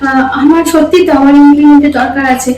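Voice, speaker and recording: A woman's voice: sits high, fairly even intonation, fast talker, warm tone, close to an everyday voice. A young woman playing a timid, polite office employee in a short-film melodrama, hesitant and deferential. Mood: hesitant, placating. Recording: echoey room, distant mic, faint music.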